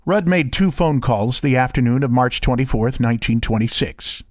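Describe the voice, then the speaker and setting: A man's voice: medium pitch, animated, moderate pace, a little mellow, no echo. A man doing scripted voiceover narration and demo reading, recorded close on a studio condenser microphone. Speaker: voiceover artist and interviewer.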